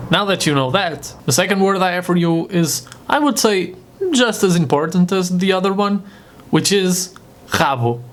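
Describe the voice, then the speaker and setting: A man from Portugal with a Portuguese accent, a native Portuguese speaker, giving an informal vocabulary lesson in a casual, jokey manner. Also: sits high. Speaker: host teaching vocabulary. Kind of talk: informal video language lesson.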